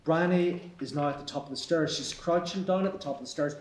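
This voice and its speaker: A man reading stage directions aloud at a live table read, even and matter-of-fact, in an echoey theatre. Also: on the high side, a little fast. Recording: echoey hall.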